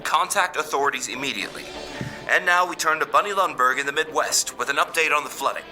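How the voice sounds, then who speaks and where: An actor playing a brisk male TV news anchor reading a formal bulletin. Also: a little high, music in the background, lightly acted, rough recording. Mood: formal, urgent.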